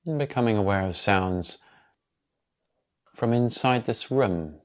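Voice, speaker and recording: Male meditation guide, lowish voice, calm and unhurried, leaving long pauses between instructions. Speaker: yoga nidra meditation guide.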